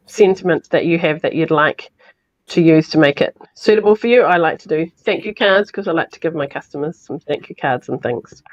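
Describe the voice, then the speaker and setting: A woman with a New Zealand accent, sounding croaky from illness, chatting through a live crafting tutorial step by step. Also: on the low side, no echo, dull audio. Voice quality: slightly hoarse. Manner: chatty, explains step by step with fillers. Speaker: craft demonstrator.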